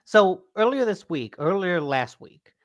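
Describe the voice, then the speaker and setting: A woman's voice: on the low side, very animated, measured pace, no room sound, muffled recording. A woman co-hosting a podcast in a casual chat, telling rambling anecdotes with many fillers and teasing her co-host.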